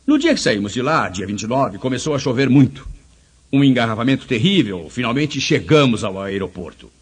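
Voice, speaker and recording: A man's voice: medium pitch, big pitch swings, a little fast, breathy edge. A man with a Brazilian accent giving an emphatic motivational speech, telling anecdotes and posing rhetorical questions. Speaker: motivational speaker.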